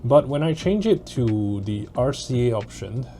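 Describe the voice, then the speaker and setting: A man's voice: mid-range voice, sing-song, measured pace, warm tone, soft background music. A man giving a casual hands-on gear review in a blunt, mildly exasperated, sweary way. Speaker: tech reviewer.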